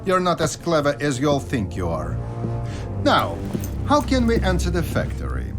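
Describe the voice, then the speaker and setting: An actor playing a ruthless, sneering military colonel in a video game cutscene, menacing and barking orders. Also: male voice, on the high side, huge pitch swings, moderate pace. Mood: sneering menace. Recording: loud music behind the voice.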